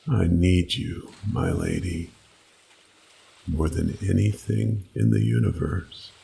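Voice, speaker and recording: A man narrating a scripted first-person horror story with deliberate, dramatic pauses. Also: low-pitched, fairly even intonation, vocal fry, dark tone, slight background noise.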